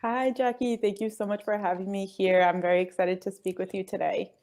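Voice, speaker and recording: A woman's voice: mid-range voice, little pitch movement, a little fast. A woman who grew up speaking Spanish first, talking in an earnest, easygoing way as a guest on a call. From United States. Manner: earnest, easygoing, with soft laughs and hedges like "I guess". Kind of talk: casual interview chat.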